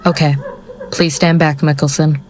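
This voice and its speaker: An actor playing a curt, by-the-book executioner in an audio drama, formal and deadpan. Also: medium pitch, normal speed, slight background noise, lightly acted. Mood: procedural.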